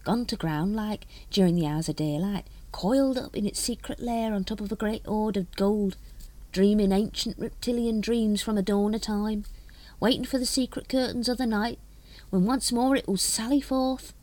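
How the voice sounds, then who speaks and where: A woman's voice: mid-range voice, normal speed, warm tone, no room sound, pitched above the performer's own voice, lightly acted, rough recording. A woman voicing a shifty, grubby little male watchman in a storybook, sly and sullen, speaking colloquially. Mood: intoning, dreamy.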